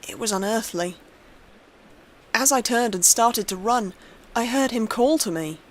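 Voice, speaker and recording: A woman's voice: on the high side, measured pace. Woman narrating horror, faint noise behind. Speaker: narrator, story reader. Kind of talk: scripted horror story narration.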